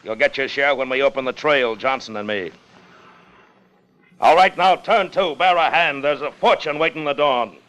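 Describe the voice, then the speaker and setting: An actor in a 1950s radio drama playing a hard, greedy ship's officer, commanding, with old-fashioned seafaring speech. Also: male voice, mid-range voice, moderate pace, muffled recording, natural voice. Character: hard, scheming, greedy sailing-ship officer leading a treasure hunt. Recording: 1950 radio broadcast with music underneath.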